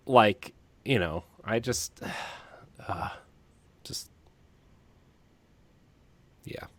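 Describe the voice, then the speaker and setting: A man's voice: lowish voice, very slow. A man co-hosting a podcast, talking in a casual, confessional way, hesitant and often trailing off. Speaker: podcast co-host.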